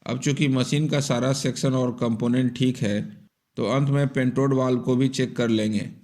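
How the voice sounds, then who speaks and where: A man's voice: medium pitch, fairly even intonation, normal speed, a little mellow. A man giving step-by-step instructional narration for an electronics repair tutorial in a methodical, explanatory manner.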